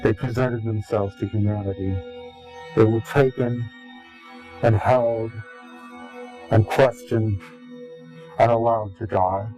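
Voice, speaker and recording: A man giving interview testimony in a grave, deliberate, halting and portentous way. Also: on the low side, steady tone, warm tone. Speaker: interviewee, claimed insider. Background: music.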